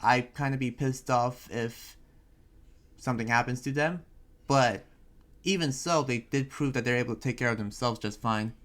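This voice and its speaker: A man playing an earnest, protective young town heir in an improvised anime game roleplay, talking in a halting, conversational way. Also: medium pitch, fairly bright tone, natural voice. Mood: earnest, thoughtful. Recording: background music under the voice.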